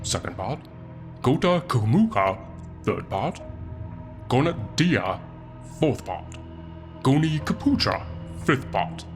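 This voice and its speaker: Sardonic devil , played by a man, comic audiobook narrator: theatrical, deliberate, swooping delivery, wry asides, faint music underneath. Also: mid-range voice, sing-song. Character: sardonic, foul-mouthed male Satan reading an old book aloud.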